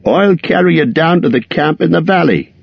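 A man's voice: a little high, animated, moderate pace, gravelly, slightly rough, no echo, voice pushed up, full character voice. A man voicing an earnest grown male rescuer who speaks with urgency. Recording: dull lo-fi recording.

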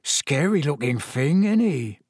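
Casting: man to play chatty young bus conductor, dramatic storybook gossip, pitched high, very lively delivery. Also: measured pace, slightly rough, dry recording, studio quality, exaggerated voice. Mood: gossipy. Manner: colloquial, chatty, dramatic, seeking agreement with tag questions.

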